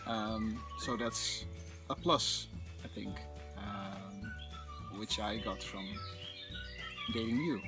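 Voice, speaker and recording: A young man from the Netherlands with a Dutch accent, chatting calmly to camera in English, a little hesitant, outdoors on a patio. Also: on the low side, takes it slowly, pauses often, over music, low-quality recording. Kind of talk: casual chat to camera. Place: outdoor patio by a wooden fence.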